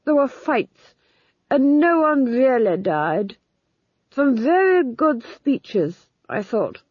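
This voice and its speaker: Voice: high voice, very animated, slow, breathy edge, no room sound, pitched above the performer's own voice, heavily acted. An audiobook reader voicing an anxious, guilt-ridden male duke who babbles nervously, in a dull lo-fi recording. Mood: dreamy.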